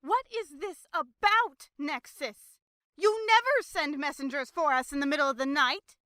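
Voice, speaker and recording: A woman's voice: high-pitched, moderate pace, sharp tone, no room sound, clean studio sound. A woman playing a sarcastic, irritable female pony cultist in an audio drama, with exasperated, emphatic delivery. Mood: wary, annoyed.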